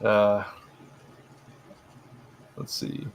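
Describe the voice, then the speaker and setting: A middle-aged man co-hosting a casual live stream, rambling with lots of fillers and restarts, his voice turning hoarse. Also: extremely slow, a little hiss or hum. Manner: rambling, many fillers and restarts, laughs often. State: getting hoarse. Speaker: live stream co-host, publisher.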